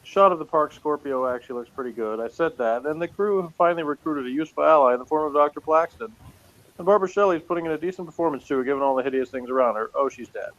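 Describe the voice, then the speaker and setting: A man's voice: fairly high pitch, fast, lo-fi sound. A man co-hosting a casual review chat, reading jokey notes aloud in a dry, wry way.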